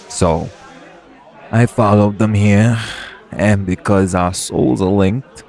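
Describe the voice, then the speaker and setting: A man's voice: deep voice, vocal fry, dark tone, exaggerated voice. A man playing a cocky, possessive vampire lord in an audio roleplay, with a casual, sardonic drawl and an edge of menace.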